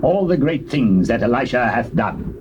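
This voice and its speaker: A commanding king played by a male actor in a scripture radio drama. His speech is formal and measured, and it sounds slightly distant over a faint hiss. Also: medium pitch, big pitch swings, darkish tone, natural voice.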